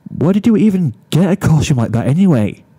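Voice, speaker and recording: Man as dramatic mare pony, teasing, affectionate, mellow storybook delivery, soft guitar music. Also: a little high, sing-song, fairly quick, husky, voice pushed up. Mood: frustrated. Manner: overdramatic, teasing. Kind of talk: storybook character reading.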